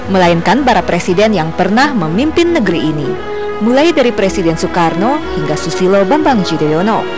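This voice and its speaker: A woman reading a scripted news voice-over as a reporter. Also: medium pitch. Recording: music bed under voice.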